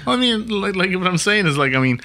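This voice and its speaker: A man hosts a casual podcast with a bright, forward voice. His talk is loose and filler-heavy, and he leans on "like" constantly. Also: high voice, sing-song, fast talker. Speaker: podcast host. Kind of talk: casual chat.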